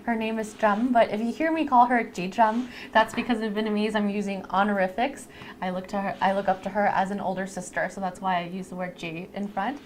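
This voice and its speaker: A woman co-hosts a live stream in a casual, chatty way, talking at a medium pitch. She explains things to her audience conversationally. Room ambience and noticeable noise sit behind her voice. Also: steady tone, brisk. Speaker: live stream co-host introducing a lash artist. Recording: clear background noise.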